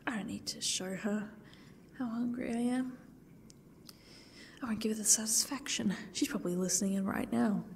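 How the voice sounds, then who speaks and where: A woman playing a defiant, broken, exhausted young captive in an ASMR roleplay, speaking haltingly and often dropping to a whisper. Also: medium pitch, fairly even intonation, vocal fry, slight background noise, close to an everyday voice. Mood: hungry, bitter whisper.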